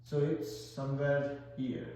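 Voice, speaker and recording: A man's voice: mid-range voice, little pitch movement, takes it slowly, warm tone, slight background noise. A man with an Indian accent teaching a math lesson, working through problems step by step and thinking aloud. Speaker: math tutor. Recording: very echoey room.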